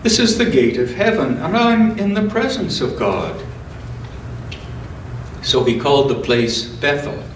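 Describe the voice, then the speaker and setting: A man with a medium-pitched voice reads to a church congregation. He takes his time, speaking slowly and deliberately. The sound is roomy and slightly distant. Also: slight background noise. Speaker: scripture reader at a church service. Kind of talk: church scripture reading with informal introduction. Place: indoors in a church.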